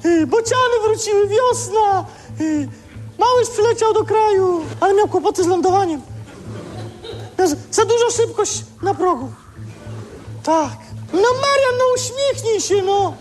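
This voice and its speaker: An actress playing a chattering, bossy, relentlessly upbeat wife who wheedles and pesters in a comic radio drama. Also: female voice, very high voice, expressive, a little slow, darkish tone, faint music behind. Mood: wheedling, cheerful.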